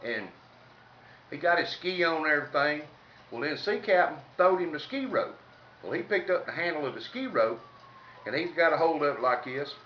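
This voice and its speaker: A Southern American man tells funny stories in a colloquial, country manner. His voice sits slightly high. The recording is distant and somewhat dull, with a faint noise under it. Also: animated, moderate pace, echoey room. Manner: folksy, colloquial, salty. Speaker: comedic storyteller. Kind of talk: informal humorous storytelling.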